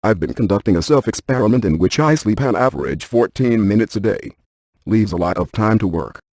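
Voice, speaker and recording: An actor playing a cerebral, methodical paranormal scientist in a video game, with precise, deadpan, technical delivery. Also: male voice, on the low side, brisk, no room sound, studio quality. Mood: methodical, serious. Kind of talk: scripted video game dialogue.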